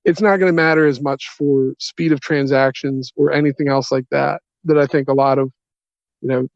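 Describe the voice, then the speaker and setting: A man explaining a business pitch on a conference call, halting and long-winded, in earnest phrasing. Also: fairly even intonation, creaky. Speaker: software company representative pitching a partnership. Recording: dull, echoey conference call audio.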